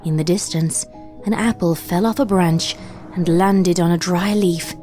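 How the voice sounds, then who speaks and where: A woman reading a horror fan story aloud in steady scripted narration. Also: lowish voice, unhurried, over music.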